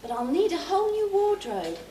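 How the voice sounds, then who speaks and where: High, swooping woman as spirited British panto player. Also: big pitch swings, brisk, warm tone. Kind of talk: brisk rhyming stage pantomime delivery. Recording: distant echoey hall, audience, noise.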